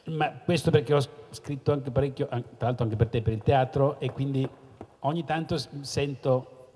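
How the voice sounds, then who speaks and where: A man's voice: medium pitch, fairly even intonation, moderate pace, a little mellow. A middle-aged man, an author on a panel, speaking in a thoughtful, hesitant, self-ironic way with a handheld mic on stage. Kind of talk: casual panel talk. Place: stage in a large hall. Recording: long hall reverb.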